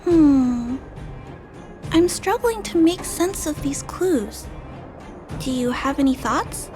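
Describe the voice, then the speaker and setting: A woman voicing a gentle, polite little girl in a video game, earnest and careful as she plays detective. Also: high-pitched, lively intonation, slow, over music. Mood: puzzled.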